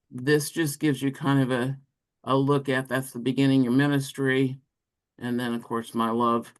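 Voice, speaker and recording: A man giving an informal walkthrough of slides on a work call, speaking haltingly and often correcting himself. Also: mid-range voice, fairly bright tone, rough recording. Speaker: presenter on an educators' call.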